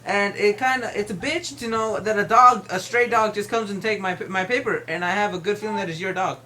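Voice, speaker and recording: A woman's voice: medium pitch, a little fast, sharp tone, slight background noise, lightly acted. A woman playing an aggrieved, stubborn male neighbor, argumentative and repetitive, in an improvised prank phone call. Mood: indignant, complaining.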